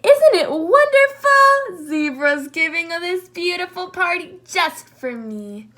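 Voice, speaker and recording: A woman's voice: sing-song, slow, pushed and bright, heavily acted. A woman voicing a cheerful, tender-hearted male moose who bursts into tears in a storybook reading. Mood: happy. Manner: very high, sobbing and giggling.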